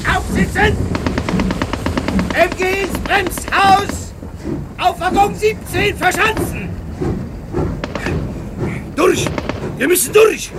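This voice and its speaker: An actor playing a frantic German military commander shouting urgent orders in a radio drama. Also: male voice, very high voice, slow pace, long pauses, over loud music. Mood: commanding, urgent. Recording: loud train noise behind shouted voice.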